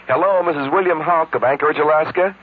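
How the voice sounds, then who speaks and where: A quick-talking American man makes a chipper promotional call. He sounds chatty and salesmanlike on a tinny, slightly noisy old recording. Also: on the high side. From New York City. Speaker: advertising phone caller. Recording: noisy tinny phone-call radio spot.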